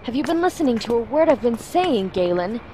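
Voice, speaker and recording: An actress playing a headstrong, short-tempered mentor in a fantasy audio drama, earnest and insistent. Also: female voice, fairly high pitch, big pitch swings, a little fast, warm tone, a little hiss or hum. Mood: earnest, explaining.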